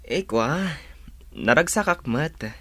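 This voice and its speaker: An actor playing an evasive young boyfriend in a radio drama, halting and uneasy. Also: male voice, medium pitch, animated, a bit bright, no echo, full character voice. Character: hesitant, evasive young boyfriend and dutiful son.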